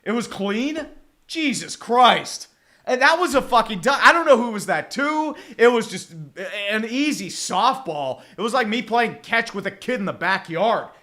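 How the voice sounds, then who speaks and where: Guy doing live fan commentary on a game, pretty high pitched, swears a lot and rambles. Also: very animated, unhurried, sharp tone. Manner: profane, exasperated, rambling with restarts. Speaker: fan play-by-play streamer.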